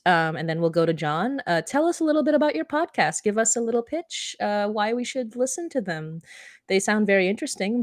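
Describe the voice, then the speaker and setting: A woman's voice: mid-range voice, no echo, studio quality. A woman hosting a live podcast stream in a casual, conversational style. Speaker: host, podcaster.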